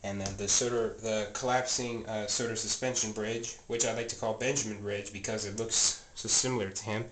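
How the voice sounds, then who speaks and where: A man's voice: fairly low pitch, fairly even intonation, fairly quick, hardly any pauses, fairly bright tone. Guy with a stuffy scratchy voice rambling thru his train room, lots of uhs, faint clicky noise behind. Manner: rambling, many fillers and self-corrections. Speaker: toy train vlogger. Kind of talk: casual show-and-tell narration. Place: home train room, indoors. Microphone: handheld camera mic, filming close.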